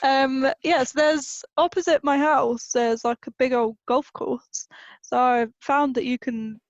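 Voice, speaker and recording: A young British woman with a high voice chats casually and playfully, poking fun at herself. The sound is dry but a little dull and lo-fi, like a home podcast. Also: fairly even intonation, slow, no room sound. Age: 20s. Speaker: podcast co-host. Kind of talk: casual chat.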